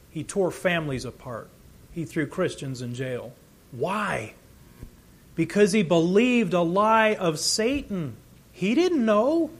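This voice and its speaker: A man's voice: high-pitched, fairly bright tone. A man preaching a sermon, earnest and emphatic, his volume rising and falling as he teaches.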